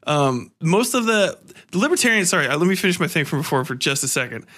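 A man's voice: on the high side, very animated, fast, a bit bright. A man hosting a podcast, chatting casually in a sweary, rambling, opinionated way. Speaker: podcast host.

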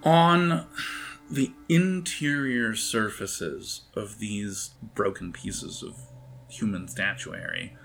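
A man narrating an improvised tabletop game as game master, in a halting, conversational way, speaking directly to his players. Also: lowish voice, creaky, fairly bright tone, slight background noise.

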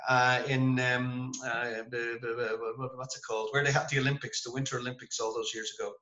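An Irish man chatting over a video call, telling lively anecdotes with self-deprecating humor. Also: medium pitch, fairly quick, reverberant, muffled recording. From Ireland. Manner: animated storyteller, self-deprecating. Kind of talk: casual video-call interview.

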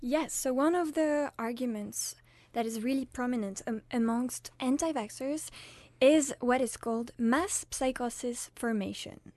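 A young woman from France co-hosts a student radio discussion. She explains ideas at length with ordinary hesitations. Her voice is fairly high, and the recording is close and dry. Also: a little slow, a little mellow, dry recording. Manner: explains with fillers and restarts. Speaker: student co-host. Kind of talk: casual discussion.

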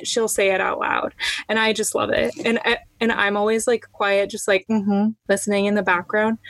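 A young woman podcast co-host with a mid-range voice, recorded close and dry. She chats casually, self-effacing and agreeable, with plenty of relaxed slang. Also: mid-range voice, dry recording. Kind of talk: casual chat.

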